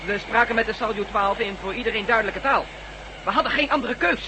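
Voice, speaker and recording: Radio-play operator, brisk, unflappable, rapid delivery on a noisy recording. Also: male voice, high-pitched. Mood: reporting. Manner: brisk, matter-of-fact. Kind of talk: Dutch radio drama acting.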